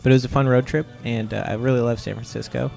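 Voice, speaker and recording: A man from Ohio, a comic artist guest, giving relaxed, rambling interview answers with lots of "uh"s. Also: medium pitch, fast talker, gravelly, soft background music. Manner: laid-back, rambling with many "uh"s.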